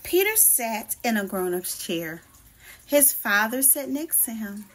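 A woman teacher reading a children's picture book aloud in a deliberate, expressive storytelling way. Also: on the high side. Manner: slow, deliberate, animated storytelling for young listeners.

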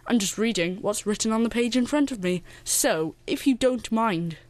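Woman as self-important radio announcer, theatrical, fairly high voice, comic history show. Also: lively intonation, normal speed, no echo, close to an everyday voice. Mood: flustered.

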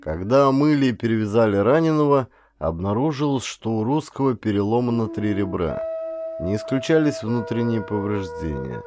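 A man narrating an adventure audiobook in a measured, theatrical, scripted style with music behind him. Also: on the low side, animated.

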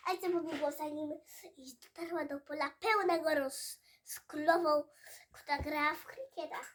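A child telling a story aloud in a halting way, repeating words and stumbling. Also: sits high, fairly bright tone.

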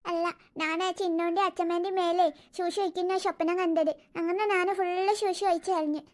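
A woman's voice: very high voice, level tone, fairly quick, very noisy. A woman voicing an innocent, tearful little boy in a family cartoon, speaking in a babyish, plaintive way. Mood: sad, innocent.

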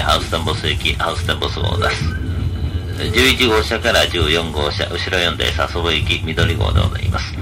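Low-voiced man making train announcements. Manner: formal, polite, procedural. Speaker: train conductor. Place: inside a moving express train, over the PA. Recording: train PA with loud carriage noise. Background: loud train running noise.

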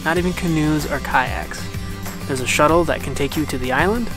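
A man's voice: fairly high pitch, moderate pace, over music. Easygoing young man vlogging on a trail. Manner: easygoing, chatty, self-mocking. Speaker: travel vlogger. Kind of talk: casual travel vlog narration. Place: outdoors on a mountain trail and inside a camper van. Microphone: handheld camera at arm's length.

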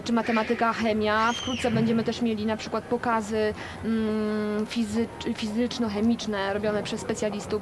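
A woman in her thirties from a community foundation, giving earnest, emphatic interview answers on a lapel mic in a shopping mall. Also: mid-range voice. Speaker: foundation representative. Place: shopping mall seating area, indoors. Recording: mall noise behind.

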